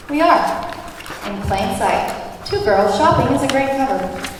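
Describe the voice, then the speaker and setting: A woman's voice: high-pitched, animated, a little slow. A woman acting a reckless, teasing free spirit in a script table read, playful, bossy and nonchalant. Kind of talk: acted table read of a screenplay. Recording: echoey hall, far from mic, faint noise.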